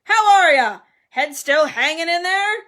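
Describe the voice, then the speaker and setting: A woman puts on a boastful male ghost knight for a storybook. He is loud, roaring and falsely jovial, mocking in a very animated delivery. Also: very high voice, measured pace, voice pushed up, heavily acted. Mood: booming, jovial.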